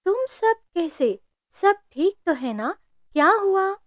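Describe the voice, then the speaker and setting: A woman's voice: very high-pitched, very animated, slow pace, no room sound, studio quality, voice pushed up, heavily acted. A woman voicing a loving, fiercely protective mother bird in a cartoon story, speaking with tender, emphatic feeling. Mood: concerned. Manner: tender, emphatic, indignant when her child is threatened. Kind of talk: Hindi cartoon story voice acting.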